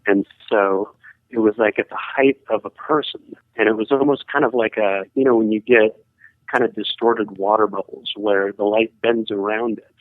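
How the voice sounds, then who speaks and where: A man calling in to tell a ghost story in a casual, halting way, full of hedging fillers, over the phone. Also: lowish voice, steady tone, no echo. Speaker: caller sharing a ghost story. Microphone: telephone. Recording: tinny phone line.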